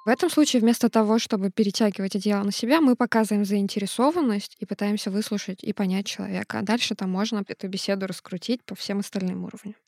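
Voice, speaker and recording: A woman co-hosting a podcast, calmly explaining a topic and acting out short examples. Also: mid-range voice, fast talker, few pauses, no echo, clean studio sound. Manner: calm, explanatory. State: sick, sore throat. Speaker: podcast co-host.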